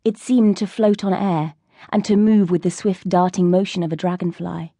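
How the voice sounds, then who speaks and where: A woman's voice: on the low side, fairly even intonation, moderate pace, mellow, dry recording. A woman reading scripted fiction as an audiobook narrator.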